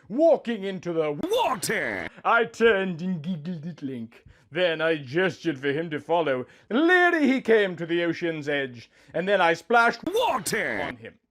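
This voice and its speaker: A man's voice: fairly high pitch, a bit bright. A man reading a scripted first-person story as narrator, with music behind him.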